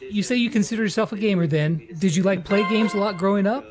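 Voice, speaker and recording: Very high-voiced man hosting a casual interview. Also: quick pace. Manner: informal, joking.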